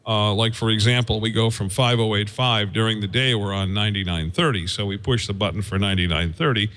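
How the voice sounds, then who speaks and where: A man giving an informal technical explanation as a guest engineer, in a halting, folksy way, thinking aloud as he goes. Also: on the low side, fairly bright tone. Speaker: guest, radio station engineer.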